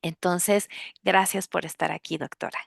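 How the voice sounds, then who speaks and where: A woman hosting an interview, earnest and pausing often with fillers. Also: lowish voice, fairly even intonation, creaky, dry recording, clean studio sound. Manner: earnest, with many filler pauses. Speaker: host.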